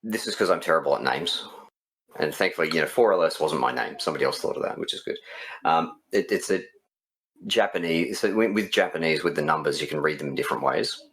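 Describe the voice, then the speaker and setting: A man's voice: very deep voice, lively intonation, quick pace, a bit bright. A man who develops and writes games, talking casually in an interview.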